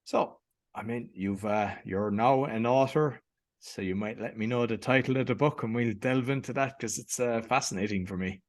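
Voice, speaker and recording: A man hosting a podcast, interviewing his guest in a relaxed way with a few fillers. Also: mid-range voice, normal speed. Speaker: podcast host. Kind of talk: conversational interview.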